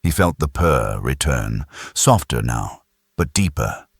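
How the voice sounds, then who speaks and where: A man's voice: very deep voice, sing-song, slow, vocal fry, breathy edge, dry recording, studio quality. A man narrating a science fiction audiobook in a dramatic, scripted style.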